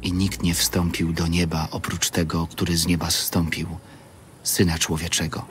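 A man reads gospel scripture solemnly in a very low voice. His intonation stays flat and even, with faint ambience behind him. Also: slight background noise. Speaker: reader of scripture.

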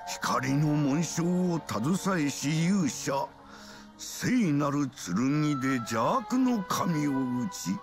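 An actor playing a stately elderly king in a game cutscene, speaking gravely in formal, archaic phrasing. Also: a little high, lively intonation, extremely slow, heavily acted. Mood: solemn, portentous. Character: solemn, stately elderly kings in council. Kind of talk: dramatic Japanese RPG cutscene acting. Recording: game cutscene with faint music.

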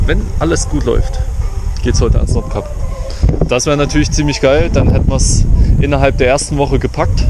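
A young man talking casually into an action camera held at arm's length outdoors, relaxed and chatty, explaining as he goes. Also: medium pitch. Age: young adult. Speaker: vlogger. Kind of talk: casual travel vlog. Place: outdoors by a lake and road in a forest. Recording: outdoor camera audio with clear background noise.

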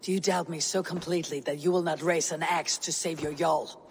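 An actor playing a blunt, proud Viking warrior in a video game, terse, stern and commanding. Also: male voice, a little high, steady tone, brisk, vocal fry, slight background noise. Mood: stern, urgent. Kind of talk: video game cutscene acting.

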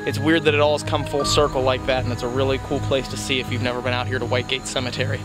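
A man's voice: mid-range voice, level tone, very fast, over loud music. A young man, hosting a casual outdoor vlog, talking upbeat to a handheld camera while walking. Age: young adult. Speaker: vlog host. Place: outdoors by a creek and cemetery. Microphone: handheld camera at arm's length.

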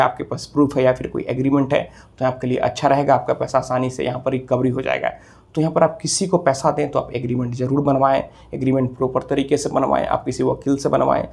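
Husky, even-toned man explaining. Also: medium pitch. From India. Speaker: legal advice video host. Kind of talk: explanatory legal advice to camera. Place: small office room, indoors.

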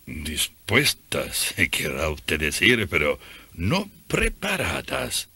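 A teasing old-world missionary priest for radio drama. He is husky and bright in tone, and takes his time, leaning on repeated words. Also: low voice, wildly swooping pitch, extremely slow, no room sound, exaggerated voice. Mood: teasing, instructive. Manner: chuckling, emphatic, repeats words for effect. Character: genial, teasing Italian missionary priest leading nuns.

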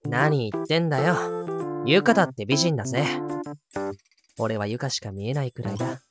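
A man playing a breezy, smitten high school boyfriend in an anime-style audio drama. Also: normal speed, natural voice. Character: cocky, lovestruck high school boy, doting boyfriend. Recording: loud music underneath.

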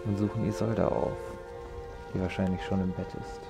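Man, gaming commentary, rambling, joking, gravelly, game music under. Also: sits low, little pitch movement, brisk. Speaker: let's play host. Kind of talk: casual gameplay commentary.

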